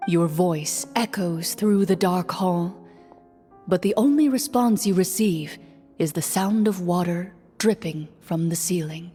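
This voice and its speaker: An actress playing a composed, serious young game master who speaks formally, in a measured and deadpan way. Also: female voice, on the low side, close to an everyday voice. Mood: solemn narration. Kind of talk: scripted game voice acting, narrating a tabletop game. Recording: echoey hall reverb, music behind.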